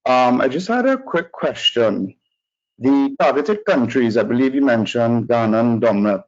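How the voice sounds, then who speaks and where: A man with a Trinidadian accent speaks at middling-to-low everyday pitch as an audience member at a webinar. He is courteous and fairly formal. His audio is a little dull, as on an internet call. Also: lively intonation, normal speed. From Trinidad and Tobago. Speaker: audience member asking questions. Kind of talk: spoken question at a webinar. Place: online call.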